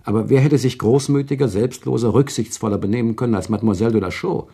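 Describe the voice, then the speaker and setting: A man playing an earnest, moralising gentleman in a German radio play, with a husky, dark voice and formal, precise speech. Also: medium pitch, fast talker. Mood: indignant. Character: earnest, indignant male narrator-friend, a moralising gentleman.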